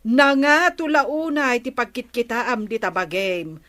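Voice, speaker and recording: Elderly grandmother, Ilocano accent, fairly high voice, admonishing, concerned, radio drama. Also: animated, a little slow, a bit bright. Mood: questioning, scolding. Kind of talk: comedy radio drama acting.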